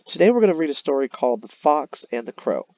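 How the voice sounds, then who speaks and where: A man's voice: a little high, big pitch swings, fast, darkish tone, no echo, muffled recording. A man teaching young children in an explanatory way, as in a recorded read-aloud lesson. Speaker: elementary teacher. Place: voice-over on a screen-recorded slide lesson.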